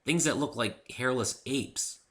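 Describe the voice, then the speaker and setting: Man doing a brash, bright, boyish girl pegasus. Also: mid-range voice, a little slow, pitched above the performer's own voice, lightly acted. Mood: wary, relenting. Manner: blunt, cocky, casual. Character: brash, sporty, boyish female pegasus pony.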